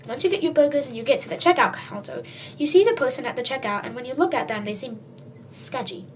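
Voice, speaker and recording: Animated high-pitched young storybook reader. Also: fast. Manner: animated, dramatic, tongue-in-cheek. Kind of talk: comic read-aloud narration in second person. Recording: distant mic, faint background noise.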